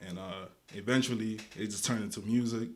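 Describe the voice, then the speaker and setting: Chill young dude from guatemala, kinda low voice, slangy and rambling, lots of "you know". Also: male voice, fairly even intonation, brisk. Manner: laid-back slangy talk, many restarts and fillers. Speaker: guest rapper. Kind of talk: casual interview chat.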